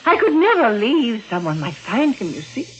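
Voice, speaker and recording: A woman's voice: on the high side, very animated, normal speed, fairly bright tone, slight background noise. A woman playing a frightened, guilt-ridden older aunt in a 1940s radio melodrama, agitated and pleading. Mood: confessing, pleading. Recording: dull vintage radio recording, music.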